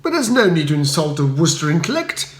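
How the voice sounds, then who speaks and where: A man voicing a foppish young English gentleman in a comic audiobook, chattering in a breezy, dithering upper-class way. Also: a little high, very animated, quick pace. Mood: haughty, offended. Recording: roomy echo.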